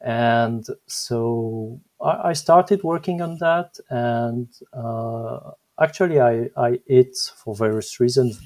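Man, fifties, from Belgium, French accent, podcast guest, thoughtful, halting, searching for words. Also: medium pitch, a little mellow. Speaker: guest, software engineer. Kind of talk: interview answers.

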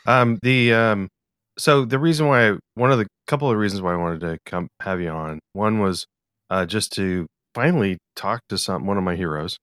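A man from the US Pacific Northwest with an American accent, hosting a casual podcast interview in a halting, rambling way. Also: on the low side, fairly bright tone, smooth, no room sound, studio quality. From US Pacific Northwest, eastern Washington. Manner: halting, rambling, hesitant delivery.

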